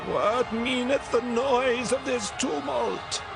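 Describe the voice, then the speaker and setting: An actor playing a frail, anxious, very old blind priest in a dramatized Bible reading. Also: male voice, very high-pitched, slow, creaky, a crowd in the background, full character voice. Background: music.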